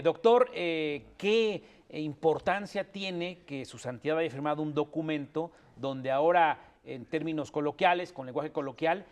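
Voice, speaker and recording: A man's voice: a little high, gravelly. A man hosting a radio talk show, framing questions with many hesitant fillers. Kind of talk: hosting a panel and phone interview.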